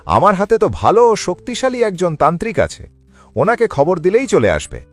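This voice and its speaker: A man's voice: sits high, wildly swooping pitch, normal speed, soft background music, exaggerated voice. A man voicing an earnest village man in a cartoon, speaking expressively. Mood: eager. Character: anxious earnest male villager, deferential. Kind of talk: Bengali cartoon voice acting.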